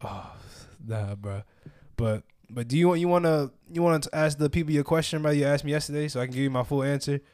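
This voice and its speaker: A man chatting casually on a podcast, teasing and quick to laugh. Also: on the high side, a little mellow. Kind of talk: casual chat.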